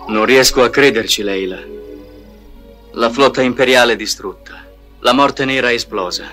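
An actor playing an earnest, defiant young knight in an audio storybook. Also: male voice, medium pitch, normal speed, sharp tone, faint music behind, natural voice. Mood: subdued.

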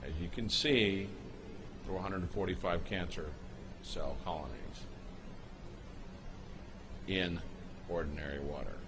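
A man giving an unscripted voice-over, explaining footage in a halting way with pauses and restarts. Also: deep voice, background noise. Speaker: narrator explaining a product video.

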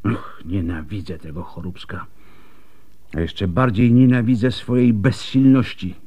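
An actor playing a weary, bitter, bluntly honest doctor in a radio drama, speaking deliberately with sighs. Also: male voice, lowish voice, dark tone, breathy edge. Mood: bitter, helpless.

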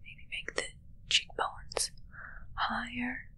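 A young woman playing a haughty, morbidly playful witch in an ASMR roleplay, whispering slowly and breathily in a musing, gently critical way close to the mic. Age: young adult. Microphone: close microphone, seen at close range.

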